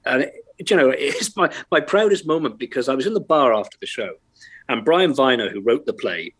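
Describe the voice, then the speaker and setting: A middle-aged man with a light Welsh accent chats as an interview guest, self-deprecating and quick to laugh. He talks from his bedroom at home over a remote call. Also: medium pitch, lively intonation, a bit bright, husky. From Wales. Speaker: actor, guest. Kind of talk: casual interview chat.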